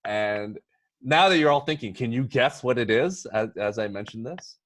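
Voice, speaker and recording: A man hosting a casual podcast discussion, talking in an animated, teasing, bantering way. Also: mid-range voice, moderate pace, dry recording. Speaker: podcast host.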